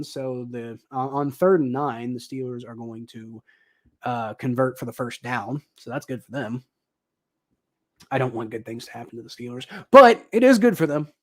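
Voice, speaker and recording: A young man doing casual live football commentary, thinking out loud with lots of ums and uhs, his voice a little dry and raspy. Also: mid-range voice. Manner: rambling, thinking aloud with many ums and uhs. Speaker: livestream host commentating football.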